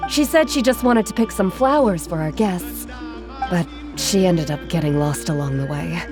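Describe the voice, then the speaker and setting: Game VO: actress as a modest, soft-spoken artisan woman, lower pitch, calm and caring. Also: moderate pace, close to an everyday voice. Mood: apologetic. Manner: calm, gentle, apologetic, modest. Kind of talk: game cutscene dialogue. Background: game music.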